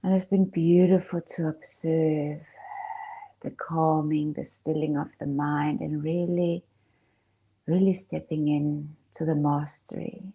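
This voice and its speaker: A woman speaking as a meditation guide, in a soft, breathy, hushed voice with long pauses. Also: deep voice, level tone. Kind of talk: gentle guided meditation talk.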